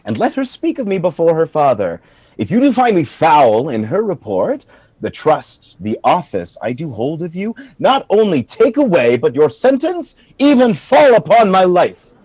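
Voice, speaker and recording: A dignified general played by a man speaks Shakespearean verse in a fairly high, husky voice. His earnest oratory swings dramatically up and down, recorded close and dry. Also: wildly swooping pitch, moderate pace, darkish tone, dry recording, full character voice. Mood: earnest, resolute. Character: valiant, dignified male general, frank and composed. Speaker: amateur actor in an online radio play. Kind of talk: Shakespearean verse acting for radio.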